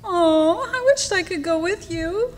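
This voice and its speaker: A woman's voice: very high voice, animated, measured pace. A woman playing a sweet, gushing younger sweetheart in an old-time radio drama, coy and cooing. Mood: pleading, cooing. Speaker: actress in a live radio play. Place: club hall stage. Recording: noisy reverberant hall.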